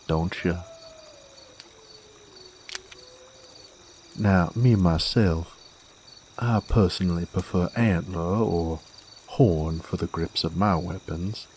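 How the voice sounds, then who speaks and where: A man playing a sardonic, menacing werewolf bounty hunter in a Western audio drama, with a folksy American Western drawl and a gravelly, unhurried delivery. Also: sits low, darkish tone, a little hiss or hum. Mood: taunting, amused. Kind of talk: Western audio roleplay, intimate dramatic monologue. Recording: faint outdoor night ambience with crickets. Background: crickets and insects.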